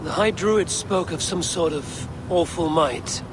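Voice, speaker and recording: An actor plays a viking warrior who speaks plainly and directly, his voice slightly higher than most men's. A steady hiss of noise runs under the game audio. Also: measured pace, close to an everyday voice.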